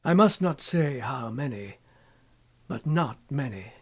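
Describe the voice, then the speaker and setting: A man reciting poetry dramatically, in a measured and impassioned way with many pauses, often dropping to a hushed tone. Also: mid-range voice, expressive, breathy edge. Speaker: poetry reader.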